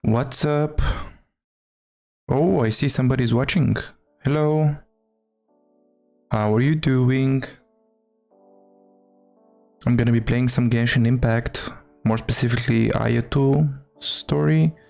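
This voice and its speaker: A man with a gravelly edge to his voice talks casually on a game stream. He takes his time, hesitant and muttering to himself, with soft music in the background. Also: mid-range voice, steady tone, takes it slowly, long pauses. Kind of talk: casual live stream chat.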